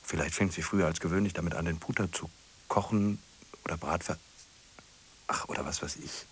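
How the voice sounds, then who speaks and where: An actor playing a solitary middle-aged man in a radio drama, ruminating in short questioning fragments with a husky, breathy voice. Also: low voice, fairly quick, slight background noise, natural voice. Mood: agitated, incredulous. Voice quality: husky, breathy, often sinking to a whisper. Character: solitary man fixated on a lonely woman, musing.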